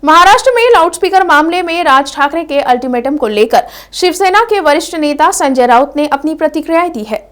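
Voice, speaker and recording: A woman's voice: high voice, lively intonation, brisk, hardly any pauses, fairly bright tone. A woman presenting news headlines in a scripted news-reading style.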